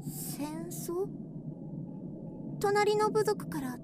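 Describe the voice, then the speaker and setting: High-voiced young desert heroine. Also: female voice, lively intonation, extremely slow, noisy background, heavily acted. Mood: curious. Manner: earnest, dramatic. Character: naive yet resolute young desert woman captive. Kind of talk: Japanese radio drama acting.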